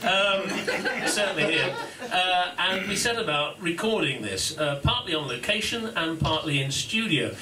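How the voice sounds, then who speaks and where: A man's voice: high-pitched, lively intonation, moderate pace, few pauses, crowd noise. A man hosting a launch event in a pub, giving an informal, self-deprecating speech full of fillers and laughs. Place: pub function room. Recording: echoey room from far off.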